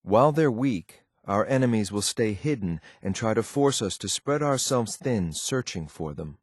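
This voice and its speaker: An actor playing a stern, loyal synthetic agent in a video game, speaking in a clipped, formal, deadpan military way. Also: male voice, on the low side, moderate pace, no room sound, studio quality. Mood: measured, resolute. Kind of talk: scripted game character lines.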